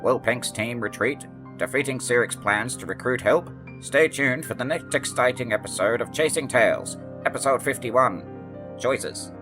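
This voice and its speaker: Guy doing dramatic next-episode announcer bit, medium pitch, music pretty loud under him. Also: moderate pace. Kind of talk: dramatic serial cliffhanger announcing.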